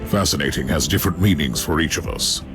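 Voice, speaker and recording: A man playing a stern, commanding inquisitor in a video game, speaking formally and with measured authority. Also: extremely low pitch, music in the background. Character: stern, commanding male imperial inquisitor, cold and resolute. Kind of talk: dramatic video game voice acting.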